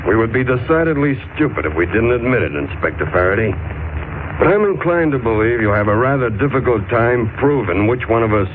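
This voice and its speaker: An actor playing a formal, unruffled ship's captain who speaks precisely and with smug courtesy, in 1940s radio style. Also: male voice, medium pitch, big pitch swings, a little fast, natural voice. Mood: smugly courteous. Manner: pompous, precise, smugly courteous. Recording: noisy, tinny 1940s radio recording.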